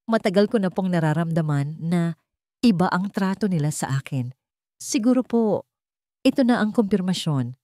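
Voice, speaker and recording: Woman acting a meek, soft-spoken young heroine. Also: on the low side, animated, normal speed, long pauses, no echo, studio quality, close to an everyday voice. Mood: hurt, resigned. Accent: Filipino. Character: meek, hurt, polite young woman of humble means.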